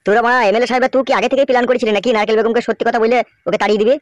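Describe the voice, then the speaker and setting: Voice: medium pitch, fairly even intonation, very fast, no room sound, lightly acted. A voice actor playing a naive, nosy, crude village man in a comedy cartoon, talking in a familiar, inquisitive way. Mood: curious. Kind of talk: amateur Bengali comedy cartoon dialogue.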